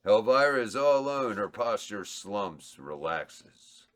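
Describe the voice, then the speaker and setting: A man's voice: lowish voice, very animated, slow pace, a bit bright. A man reading stage directions aloud at a table read, laid-back and chuckling. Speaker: script reader and cast member.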